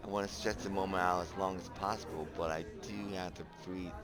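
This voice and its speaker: Romance game male lead, acted by a man: young, low-pitched, earnest, teasing, lovestruck, music bed. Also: steady tone, fairly quick, close to an everyday voice. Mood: tender, awed. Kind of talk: visual novel voice acting with narration. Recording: background music under the voice.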